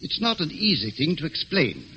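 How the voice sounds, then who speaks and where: Eager gentleman client, vintage radio mystery. Lively, swooping delivery, formal but hesitant, with noise on the old recording. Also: a little high, normal speed, highs cut off, heavily acted. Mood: earnest, uneasy. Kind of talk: 1940s American radio drama acting.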